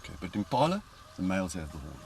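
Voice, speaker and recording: South African safari guide, lively voice. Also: male voice, sits low, fast, slight background noise. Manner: chatty, joking, explanatory. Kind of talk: guided tour commentary. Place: open safari vehicle outdoors.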